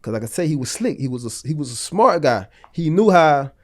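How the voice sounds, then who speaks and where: A man's voice: fairly high pitch, very animated, fairly quick. A man in his late thirties from North Florida, a podcast guest telling long stories in a loose, slangy, rambling way. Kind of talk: casual storytelling interview.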